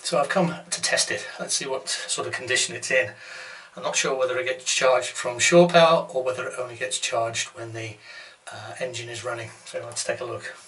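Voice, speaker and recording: A middle-aged man with a bright, forward voice talks through his repair jobs on a sailboat. He sounds chatty and matter-of-fact, explaining each step plainly. A faint hiss of outdoor noise sits behind him on a small handheld camera. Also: mid-range voice, steady tone, fairly quick. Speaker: sailing vlogger. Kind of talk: casual vlog narration. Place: on a sailboat and beside its hull in a boatyard. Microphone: handheld action camera.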